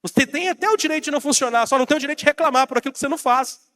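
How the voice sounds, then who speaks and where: A man's voice: very high voice, very fast, pushed and bright. A Brazilian man preaching a sermon as pastor, rousing and calling out to his congregation, while he has a bad cold. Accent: Brazilian Portuguese.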